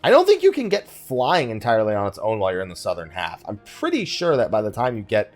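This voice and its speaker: A man's voice: lowish voice, wildly swooping pitch, quick pace, faint music behind. A man giving casual let's play commentary, thinking aloud in a wry, conversational way. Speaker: gaming YouTuber, host of the let's play.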